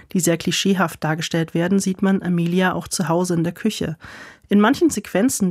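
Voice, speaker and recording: A news narrator reads a scripted report in a measured, slightly wry delivery. The recording is close and dry, with no room sound, and the pitch is mid-range. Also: darkish tone, dry recording.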